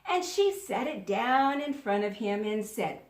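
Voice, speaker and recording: A woman teaching young children in a read-aloud lesson, speaking deliberately and instructively, in the manner of an elementary school teacher. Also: a little high, animated. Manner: deliberate, instructional, repeats words for pupils to echo. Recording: roomy, echoing sound.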